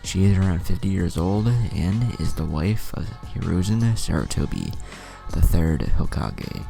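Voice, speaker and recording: A man with a gravelly voice reading a scripted fan fiction story as narrator. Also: deep voice, lively intonation, measured pace, dark tone, soft background music.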